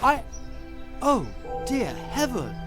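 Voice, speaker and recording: A man's voice: extremely high pitch, wildly swooping pitch, slow pace, full character voice. A man playing an earnest medieval king, a loving husband, with formal theatrical delivery in an audio drama. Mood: dismayed. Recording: music under the voice.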